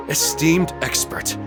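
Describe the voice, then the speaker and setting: An actor playing a gentle, polite and wistful villager in an anime-style game, speaking with courteous hesitation. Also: male voice. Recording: music playing behind.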